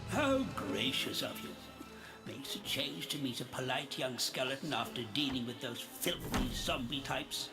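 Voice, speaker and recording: A man playing a chatty, world-weary undead ferryman of lost souls in a video game, with a gravelly, theatrical delivery. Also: mid-range voice, sing-song, a little slow. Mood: chummy. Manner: theatrical greeting sliding into chummy grumbling. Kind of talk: video game character acting. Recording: loud music behind the voice.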